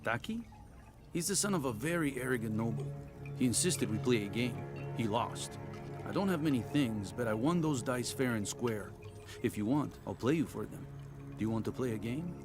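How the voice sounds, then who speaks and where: Male actor for cryptic outcast villager in a game, mid-pitched, calm and wry, music behind. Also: moderate pace, lightly acted. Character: wry outcast man, living as one dead. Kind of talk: video game dialogue acting. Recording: music, dripping water behind voice.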